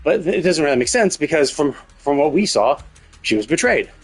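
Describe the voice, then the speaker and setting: A man doing casual live game commentary, speculating and thinking out loud, with some casual swearing. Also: on the high side, very animated, brisk, slightly rough, soft background music. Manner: casually profane. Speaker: game livestreamer.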